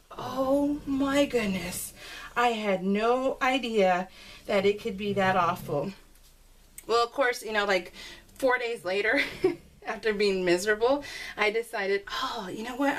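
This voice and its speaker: A woman chatting casually and rambling as a craft video host, giggly and self-deprecating, telling stories with animated exclamations. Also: a little high. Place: at home, voice recorded live while crafting. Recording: noisy home recording with clicks.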